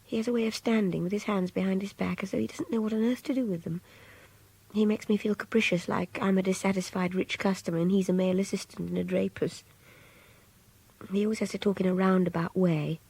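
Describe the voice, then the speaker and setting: A breathy, hushed young captive woman. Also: medium pitch, fairly even intonation, a little fast, dry recording, poor audio. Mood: scornful, brooding. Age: young adult. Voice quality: breathy, often near-whispered, gravelly edge. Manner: hushed, brooding, confiding. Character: young kidnapped woman captive, frightened, contemptuous, passionate, intense. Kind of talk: radio drama monologue, intimate diary narration.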